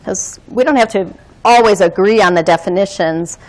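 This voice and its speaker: A woman who trains dialogue facilitators, speaking in a measured, reflective way with frequent pauses. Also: medium pitch, low-quality recording. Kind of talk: reflective conversational talk.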